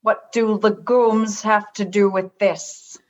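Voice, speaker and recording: Stern, cryptic female alien high leader for sci-fi radio comedy, acted by a woman. Formal, slow, unbending; slightly dull lo-fi sound. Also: steady tone, lightly acted. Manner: formal, measured, literal, unbending.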